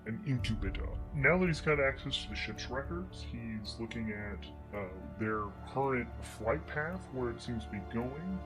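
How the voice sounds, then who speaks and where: A man tells an improvised tabletop adventure in a mid-range voice. He moves through it haltingly, stopping to find his words, as solo narrator and game master. Instrumental music plays strongly underneath him. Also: mid-range voice, animated, low-quality recording. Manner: halting, pausing and searching for words. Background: prominent instrumental music.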